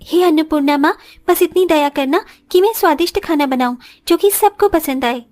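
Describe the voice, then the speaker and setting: A woman's voice: sits high, moderate pace, darkish tone, close to an everyday voice. A woman voicing a humble, dutiful young village bride in a moral story cartoon, speaking politely and respectfully.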